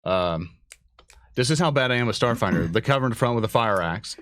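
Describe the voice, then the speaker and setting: A man hosts a livestream in a very quick, loose flow of talk. He is casual and enthusiastic, using slang and rambling from point to point. The sound is dry, with little room on it, and his pitch sits in an ordinary male range. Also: expressive, fairly bright tone, dry recording. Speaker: livestream host. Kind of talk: casual enthusiastic stream chat.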